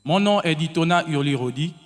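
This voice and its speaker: A man's voice: on the high side, expressive. Young man, formal welcome. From Gabon. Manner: formal, measured. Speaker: student. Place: hotel ceremony hall. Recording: echoey hall.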